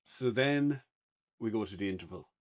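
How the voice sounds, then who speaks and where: A man's voice: fairly low pitch, expressive, normal speed. Casual Irish man chatting. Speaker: podcast co-host reviewing a play. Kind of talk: casual unscripted chat. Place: indoors on a couch, podcast setup.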